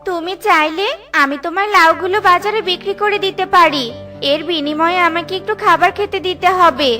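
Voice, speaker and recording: A young girl bird in a children's cartoon, hurt but polite. The voice is extremely high and bright, with a plaintive, pleading edge. Music plays clearly behind it. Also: a little fast. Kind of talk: children's cartoon story acting.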